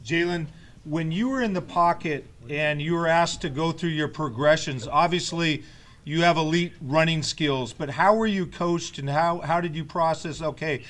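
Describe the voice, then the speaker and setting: Higher-voiced male reporter asking a question. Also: normal speed, a bit bright. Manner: long, rambling, detailed questioning. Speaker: sports reporter. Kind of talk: press conference question.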